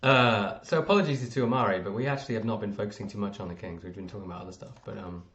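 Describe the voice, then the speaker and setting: A man's voice: on the low side, rapid-fire, fairly bright tone, echoey room, highs cut off. A man hosting a casual sports podcast in a jokey, informal way. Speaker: podcast host.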